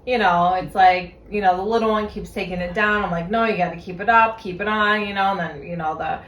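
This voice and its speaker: A woman's voice: mid-range voice, fairly even intonation, brisk, fairly bright tone. A woman in her thirties co-hosting a podcast, talking casually and swearing freely. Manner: chatty, swears freely, lots of fillers and laughter. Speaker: podcast co-host. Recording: echoey room.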